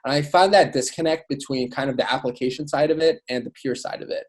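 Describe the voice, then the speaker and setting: A man's voice: mid-range voice, fast talker. Young guy chatting on a podcast as a guest, mid-range voice, keen and casual, says like and you know a lot. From born in Iraq. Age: young adult. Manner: informal, enthusiastic, peppered with "like" and "you know". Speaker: podcast guest, student author and educator. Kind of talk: casual interview talk.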